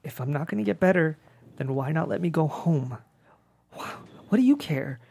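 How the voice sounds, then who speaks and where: Woman playing a husky, anguished dying son. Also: low voice, animated, moderate pace, dark tone, full character voice. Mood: bitter, weak. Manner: anguished, emotional. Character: bitter, desperate dying young son. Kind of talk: dramatic table read.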